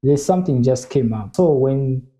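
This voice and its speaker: Painter guy in an interview, very dark mellow voice, stops and repeats himself a lot. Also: medium pitch, expressive. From Zambia. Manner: halting, repeats phrases, earnest. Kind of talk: casual interview talk.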